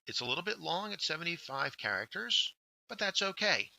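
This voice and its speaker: A man narrating a scripted instructional workshop, explaining step by step with deliberate emphasis on key words. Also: fairly high pitch, sing-song, vocal fry, sharp tone, no echo, low-quality recording. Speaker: workshop instructor, journal editor.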